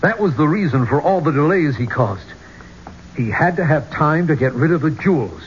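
An actor playing a tense archeologist trapped in a tomb in a 1970s radio drama, with halting, dramatic delivery. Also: male voice, fairly high pitch, expressive, lightly acted. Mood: grave. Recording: dull, noisy old radio broadcast.

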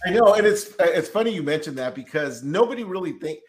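A man's voice: on the high side, animated, fast. A man hosting a casual podcast interview as a tax debt consultant, talking in a rambling way full of fillers and restarts.

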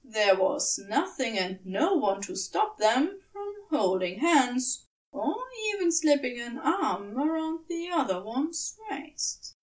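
A woman reading scripted fiction as an audiobook narrator, with a measured, storybook-like delivery. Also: sits high, sing-song, far from the mic, poor audio. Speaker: fan fiction audiobook reader.

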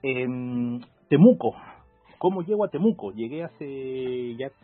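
A man's voice: medium pitch, lively intonation, no echo, soft background music. A man in his forties from Peru with a Peruvian accent, talking reflectively as a law professor guest in an interview. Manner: measured, reflective, many fillers. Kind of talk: casual interview. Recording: phone-like call audio.

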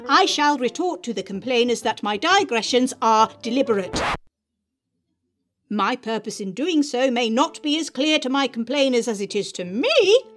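A woman podcast host who reads and speaks in an articulate, theatrical, emphatic manner. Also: high-pitched. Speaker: podcast host reading aloud. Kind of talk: dramatic reading of an essay, then informal podcast chat.

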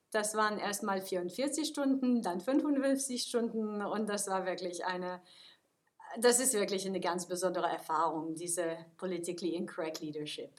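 A university lecturer whose first languages are English and French, answering interview questions hesitantly with many fillers. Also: female voice, fairly low pitch.

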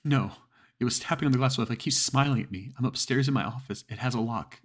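A man voicing a terrified woman on an emergency call, his voice pushed up high and strained with panic. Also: fast, close to an everyday voice.

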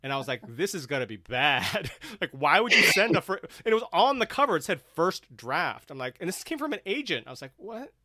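A man's voice: a little high, sing-song, a little fast, few pauses, sharp tone, dry recording. Man hosting with a close, dry sound. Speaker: host and panel moderator. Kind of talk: live-streamed panel discussion.

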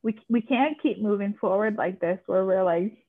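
A woman's voice: mid-range voice, moderate pace. A woman co-hosting a podcast, speaking earnestly and with feeling, filling her talk with "like". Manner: impassioned, many "like" fillers. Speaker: podcast co-host. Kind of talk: earnest casual conversation. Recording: tinny phone-like line.

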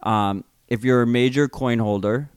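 A man's voice: medium pitch, normal speed, smooth, dry recording, clean studio sound. A man with a New York accent, co-hosting a casual podcast, speaking bluntly and swearing now and then. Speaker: podcast co-host.

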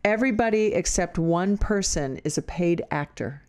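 A woman's voice: fairly low pitch, animated, normal speed. An older woman with a Minnesota accent, co-hosting a casual podcast, rambling earnestly and laughing often. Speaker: podcast co-host. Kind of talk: casual chat.

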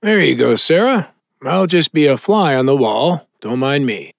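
Taunting phantom, very swoopy delivery. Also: male voice, medium pitch, expressive, unhurried, creaky, dry recording, clean studio sound. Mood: smug, mocking. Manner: sardonic, needling, blunt. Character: taunting hallucinated dead man, mocking and controlling. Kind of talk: audio drama acting.

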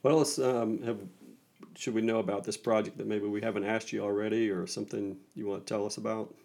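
A male host with a low, creaky voice asks casual questions. He restates the facts plainly for the audience in an easy interview format. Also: steady tone, a little fast, darkish tone. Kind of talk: conversational interviewing, summarizing for listeners.

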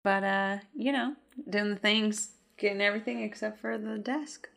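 A woman's voice: mid-range voice, normal speed, creaky, fairly bright tone. A woman co-hosting a podcast in casual chat, laughing often and giving short playful replies. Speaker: podcast co-host.

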